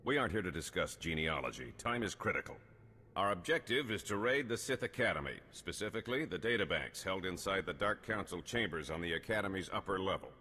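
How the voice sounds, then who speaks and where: An actor playing a stern, driven military colonel in a video game, speaking in a clipped, formal, commanding way. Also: male voice, low voice, expressive, a little fast, pushed and bright, husky, exaggerated voice. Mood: brisk, stern. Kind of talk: video game cutscene acting. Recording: long hall reverb.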